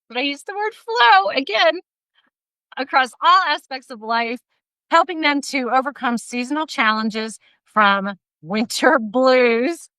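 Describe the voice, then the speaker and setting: A woman in her fifties hosting a casual interview over a video call, energetic and laughing often. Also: a little high, dry recording, poor audio. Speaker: podcast host. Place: bright room at home, indoors. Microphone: video call, laptop mic.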